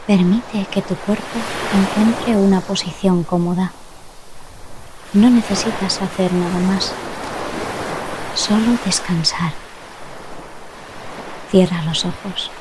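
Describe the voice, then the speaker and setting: A woman narrating a guided relaxation meditation, speaking gently and soothingly with long pauses between short phrases. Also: medium pitch, fairly even intonation, background noise. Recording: loud ocean waves behind the voice. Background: sound of sea waves.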